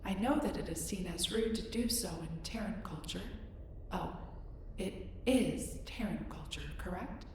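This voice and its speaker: A woman's voice: lowish voice, measured pace, darkish tone. A woman playing a curious, polite young female alien in an audio roleplay, speaking in formal, precise, reserved phrasing. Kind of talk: scripted roleplay audio drama addressed to listener. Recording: echoey, distant, noisy spaceship ambience.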